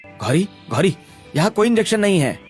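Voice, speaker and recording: A man's voice: high-pitched, big pitch swings, a little fast, faint music behind, voice pushed up. A man playing a caring, earnest young tea seller in a cartoon story. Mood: soothing.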